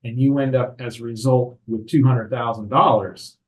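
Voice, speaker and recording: Homme posé qui explique. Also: male voice, mid-range voice, fairly even intonation, a little mellow. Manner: measured, explanatory, frequent "right?" tags. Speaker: estate attorney. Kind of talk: explanatory podcast interview.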